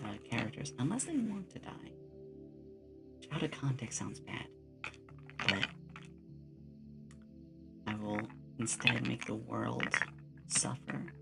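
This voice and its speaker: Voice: medium pitch, creaky. A streamer chatting casually with viewers in an ASMR stream, rambling along like a storyteller. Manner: rambling storyteller, acts out short quotes. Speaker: streamer, VTuber host.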